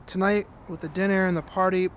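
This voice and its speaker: A man's voice: sits high, level tone, fairly quick, a little hiss or hum, natural voice. A man playing an easygoing young boyfriend and soccer fan in a casual audio drama. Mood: apologetic, coaxing. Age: young adult. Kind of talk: casual, naturalistic drama dialogue.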